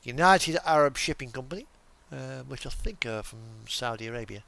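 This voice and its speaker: A man's voice: mid-range voice, expressive, moderate pace, creaky, pushed and bright, no echo. A British man giving casual, rambling game commentary, joking and musing to himself with the odd swear word. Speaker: video creator commentating on truck simulator driving. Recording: music playing behind the voice. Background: radio music and truck engine sounds.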